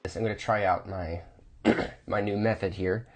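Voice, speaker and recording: A man's voice: sits low, fairly even intonation, normal speed, breathy edge. A man reviewing watches casually, thinking aloud with restarts, his voice a bit off from a cold.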